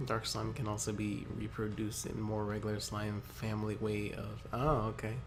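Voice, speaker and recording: A man with a lowish voice reads aloud informally, like he is goofing around. He stumbles, adds joking asides and gets giggly. Video game music is clearly audible behind him. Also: monotone, moderate pace. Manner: stumbles over the text, breaks into giggles. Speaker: co-host reading wiki entries aloud. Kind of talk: casual, jokey reading aloud with asides. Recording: music playing over the voice.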